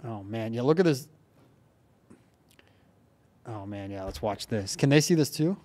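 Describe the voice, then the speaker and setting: A man hosting a casual podcast, telling stories in an animated way with lots of filler words like "like" and "dude.". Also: medium pitch, unhurried. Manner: animated storyteller, heavy use of "like" and "dude". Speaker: podcast host.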